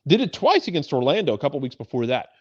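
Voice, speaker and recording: A man's voice: fairly high pitch, big pitch swings, quick pace, darkish tone, no echo. A man giving casual sports analysis as a pundit, making his points with repeated phrases for emphasis. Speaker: soccer pundit on a talk show. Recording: slightly dull, lo-fi audio.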